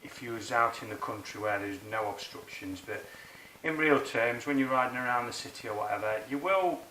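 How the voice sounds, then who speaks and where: A man's voice: mid-range voice, lively intonation, fairly quick, bright, forceful tone. A man giving a casual, chatty hands-on tutorial in informal, colloquial language. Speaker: motorbike rider presenting a how-to video. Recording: roomy, distant mic, clear background noise.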